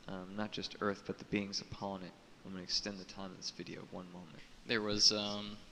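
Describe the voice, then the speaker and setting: A man giving an unscripted spiritual monologue to camera as a video blogger, speaking haltingly and solemnly in cryptic phrases, repeating words for emphasis. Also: fairly low pitch, sharp tone. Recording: echoey reverberant room with audible hiss.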